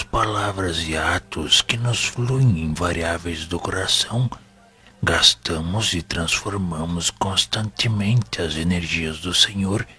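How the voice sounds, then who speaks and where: A wise, fatherly spirit teacher with a Brazilian accent, deep and forceful. He lectures slowly and solemnly in formal oratory, as in an audio drama. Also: husky, exaggerated voice. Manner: grave, didactic, formal oratory. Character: wise, paternal, solemn male spiritual instructor. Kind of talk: audio drama sermon-like monologue.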